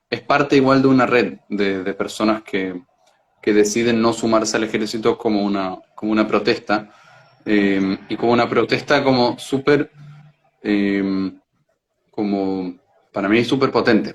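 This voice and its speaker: A man, talking as an interview guest. He explains in a reflective, conversational way, often hesitating and doubling back on words as he searches for the right one. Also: fairly low pitch. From Israel.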